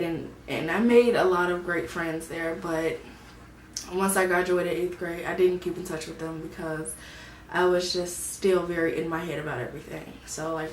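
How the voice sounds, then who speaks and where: A woman, vlogging about her own life in a soft, hesitant way, often searching for words. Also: on the low side, a little hiss or hum. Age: 20s. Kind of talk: personal confessional vlog. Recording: echoey room, mic at a distance.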